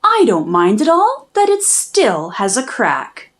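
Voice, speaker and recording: A high-voiced woman narrates a children's picture book in verse. Her pitch swings widely and she takes her time over the lines. She leans into key words with expressive, dramatic emphasis. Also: slow. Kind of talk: storybook read-aloud, rhyming verse.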